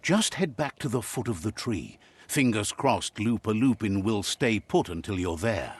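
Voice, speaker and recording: A man narrating a video game as a calm storyteller who relays what the creatures say. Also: fairly low pitch, a little slow, slightly rough. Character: grown male storybook narrator, calm and wise.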